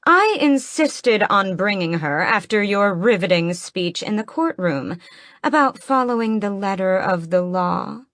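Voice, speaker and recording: A woman's voice: medium pitch, expressive, a little slow, fairly bright tone, no echo, clean studio sound, pitched above the performer's own voice. A woman voicing a haughty, ageless vampire queen who speaks in cold, mocking commands. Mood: scornful.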